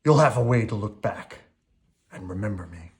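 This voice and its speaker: A gruff, brooding beast from a children's storybook, acted out by a male reader. The delivery is heavy-hearted and changeable in pitch, with a soft heart beneath the sulkiness. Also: lively intonation, normal speed, a little hiss or hum, close to an everyday voice. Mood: shy, tender. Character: big gruff male beast, sulky yet tender-hearted.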